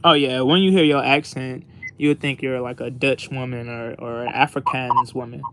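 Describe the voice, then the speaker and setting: A man's voice: mid-range voice, steady tone, normal speed, a bit bright. An American man co-hosting a podcast, chatting casually in an earnest, rambling way over an online voice call. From United States. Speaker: podcast co-host.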